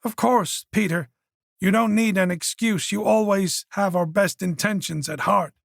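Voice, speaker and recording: A kindly older mother whose words come out soft and gracious, the voice raised well above his own, as a male narrator puts her on. There is still a husky grain to it, recorded dry and close. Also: high-pitched, a little slow, dry recording, voice pushed up, exaggerated voice. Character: older mother, kind and gentle.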